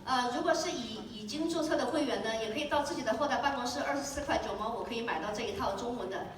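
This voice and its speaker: A woman interpreting a stage talk consecutively, restating points quickly with fillers. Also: fairly high pitch. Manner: quick, with fillers, restating in her own words. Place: large convention hall. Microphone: handheld mic, recorded from far in the audience. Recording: echoey hall taped from far off, noisy.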